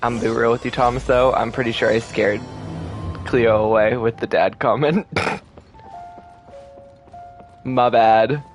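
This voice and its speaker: A man's voice: mid-range voice, fairly even intonation, a little slow, long pauses, faint music behind. A man doing casual livestream game commentary in an informal, joking way. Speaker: game streamer.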